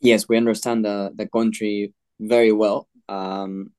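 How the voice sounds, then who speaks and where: A man speaks in an even, level tone with little melody. He talks in measured phrases broken by many filler pauses. The recording is close and dry. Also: lowish voice, no echo. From Peru. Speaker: mining company chairman. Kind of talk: podcast interview.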